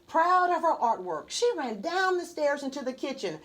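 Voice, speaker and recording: A woman reading her own children's book aloud as an animated storybook narrator. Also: high voice, normal speed.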